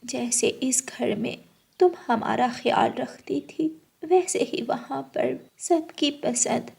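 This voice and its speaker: A woman voicing a gentle, caring ageing mother bird in a cartoon, speaking in a hushed, soothing, advising way. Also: high-pitched, takes it slowly, creaky, husky, full character voice. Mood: tender.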